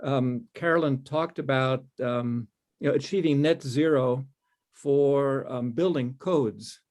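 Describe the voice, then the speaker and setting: A man's voice: mid-range voice, slow, a little mellow. Man chairing an online board meeting. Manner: friendly, rambling, filler-heavy.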